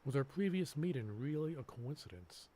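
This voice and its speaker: A man live-streaming a game, reading on-screen text aloud a little haltingly and breaking off into casual asides. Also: fairly high pitch, lively intonation, warm tone, breathy edge.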